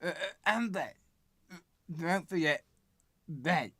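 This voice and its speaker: A man playing a nervous conjoined twin from a circus freak show, with a halting stammer, in an amateur radio drama. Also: fairly high pitch, expressive, fairly bright tone, slightly rough, dry recording, exaggerated voice. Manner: halting stammer, broken into short pieces.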